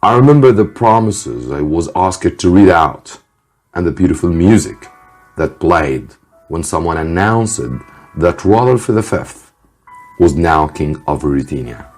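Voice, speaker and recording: Deep, husky, slow male story reader. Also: lively intonation, darkish tone. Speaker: English teacher reading a story aloud. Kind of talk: scripted story narration for students. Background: faint music.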